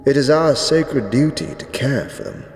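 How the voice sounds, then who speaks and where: A man playing an ancient, zealous skeleton high priest in a fantasy audio drama, speaking gravely in archaic, ceremonious phrases. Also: very animated, a little mellow, soft background music. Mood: grave, devout. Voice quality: deep, drawn-out delivery. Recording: echoey reverberant hall, faint noise.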